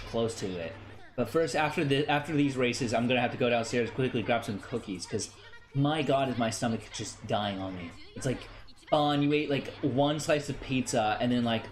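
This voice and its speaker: A young American man chatting casually on a game stream, animated and playful, often stammering and repeating phrases. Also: medium pitch, slight background noise. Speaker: livestreamer, VTuber.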